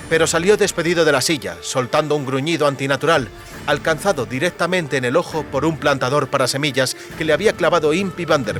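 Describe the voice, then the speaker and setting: Higher-voiced male audiobook narrator over soft music. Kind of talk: dramatic scripted narration of a fantasy novel.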